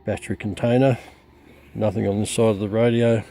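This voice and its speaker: A man giving a halting, matter-of-fact, unscripted demonstration of gear as a hobbyist. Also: fairly low pitch, little pitch movement, warm tone, slight background noise. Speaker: radio hobbyist presenter.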